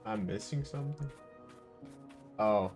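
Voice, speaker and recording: A man's voice: medium pitch, slow pace, a little mellow. A man streaming a game with casual live commentary, musing aloud and echoing the game's lines. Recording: game music behind the voice.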